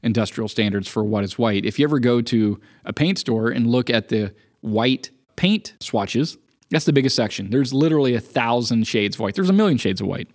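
Man, raspy, under the weather, chatty, explains at length, co-hosting a podcast. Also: mid-range voice, expressive, moderate pace, darkish tone. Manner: talkative, explains at length with everyday comparisons. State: sick with a cough. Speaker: podcast co-host. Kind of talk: casual chat.

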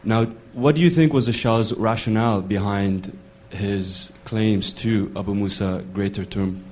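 A man's voice: lowish voice, steady tone, unhurried, a little mellow, slight background noise. A man in a lecture audience asking a long question, hesitant with many fillers. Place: lecture hall.

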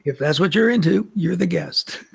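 A man's voice: sits high, lively intonation, moderate pace, vocal fry, slightly rough. A man hosting an interview, stammering and repeating words as he asks questions. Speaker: interviewer, host.

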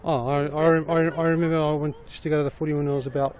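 A man in his forties, a junior football coach who grew up in Melbourne after arriving from Lebanon, answering in a relaxed, rambling way full of fillers. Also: a little high, very fast. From Lebanon, raised in Melbourne. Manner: rambling, many fillers and restarts, easygoing. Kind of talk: casual interview answers. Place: outdoors at a football ground. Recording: outdoor recording with crowd behind.

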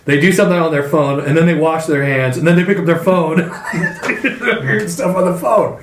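A man in his fifties co-hosting a podcast in casual chat, easygoing and quick to laugh. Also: fairly high pitch. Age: 50s. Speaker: podcast co-host. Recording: roomy room sound.

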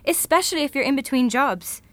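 Actress as a thoughtful, high-voiced woman futurist. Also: expressive, a little fast, a bit bright, no room sound. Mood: thoughtful, earnest. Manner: reflective, earnest. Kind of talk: audio drama acting.

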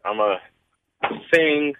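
A man's voice: fairly high pitch, lively intonation, extremely slow, crowd noise. A seventeen-year-old young man chatting casually as a contestant calling a radio show by phone. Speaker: call-in contestant. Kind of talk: casual chat on a radio call. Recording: dull telephone line.

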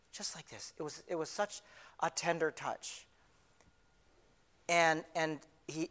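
Man acting as an excitable blind beggar, high bright voice. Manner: halting, repeats phrases, conversational storytelling. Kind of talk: first-person dramatic monologue, retelling a Bible story. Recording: live in a large reverberant hall.

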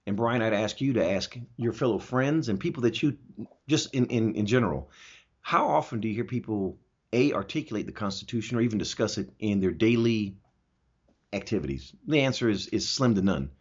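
A man with a husky voice hosting a talk show, explaining his opinions emphatically and posing rhetorical questions in a conversational way. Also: lowish voice, animated, brisk. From Germany. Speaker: talk show host answering listener questions. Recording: dull, lo-fi audio.

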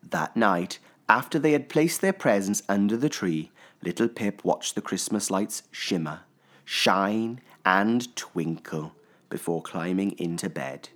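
A man narrating a children's story expressively, with theatrical phrasing and deliberate pauses. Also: low voice, lively intonation, a bit bright.

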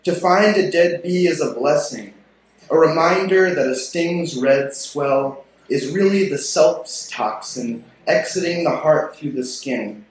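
A man's voice: a little high, slow. A man reading poetry aloud at a live literary event in a very echoey room. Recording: very echoey room, faint noise.

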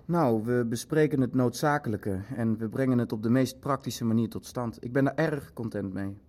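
A man's voice: mid-range voice, normal speed, darkish tone, lightly acted. A man playing an eager, businesslike Nazi official in a Dutch radio play, matter-of-fact as he calculates. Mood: eager, satisfied.

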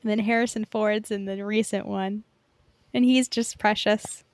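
A woman's voice: medium pitch, steady tone, normal speed, no echo. A woman co-hosting a podcast in casual pop-culture chat, informal and enthusiastic. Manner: informal, enthusiastic, swears freely. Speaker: podcast co-host.

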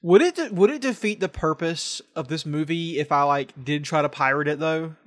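A man's voice: a little high, moderate pace. A man chatting casually with a joking, teasing manner. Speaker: podcast co-host. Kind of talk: casual chat.

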